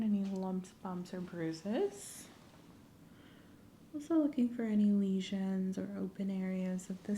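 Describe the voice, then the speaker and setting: A soft-spoken woman, often whispering, doing an ASMR medical exam roleplay in a gentle, clinical manner. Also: medium pitch, slight background noise. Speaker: ASMR performer playing a medical examiner.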